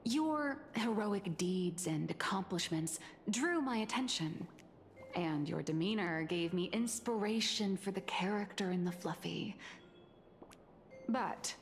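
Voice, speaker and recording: A poised comic artist. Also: female voice, mid-range voice, animated, slight background noise. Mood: calm. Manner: measured, explanatory, lightly wry. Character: poised female comic artist explaining her craft. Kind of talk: video game voice acting. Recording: game audio with long reverb and faint music.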